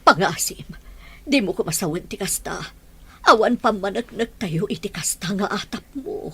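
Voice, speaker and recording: A woman's voice: medium pitch, normal speed, slightly rough. A woman with an Ilocano accent playing a grieving, protective widowed mother in a radio drama. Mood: pleading, admonishing.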